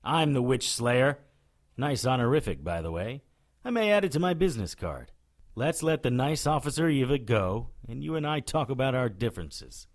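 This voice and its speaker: A man's voice: mid-range voice, sing-song, normal speed. A man playing a wry, cocky witch hunter who narrates and speaks in an audio drama, dry and sardonic. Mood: sardonic, defiant. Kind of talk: first-person audio drama narration and dialogue.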